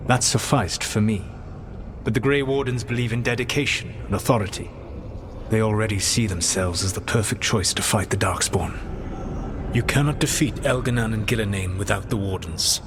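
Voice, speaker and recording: An actor playing a grave, calculating ancient elven god who speaks formally and with measured solemnity. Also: male voice, lowish voice, background noise, natural voice. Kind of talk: fantasy game dramatic dialogue.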